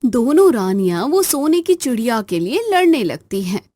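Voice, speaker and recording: A woman's voice: a little high, very animated, moderate pace, noisy background. A woman narrating a scripted cartoon story.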